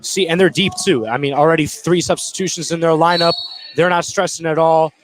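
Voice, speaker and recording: A man's voice: fairly high pitch, fairly even intonation, a little fast, background noise. A man giving casual, slangy colour commentary on a live basketball game.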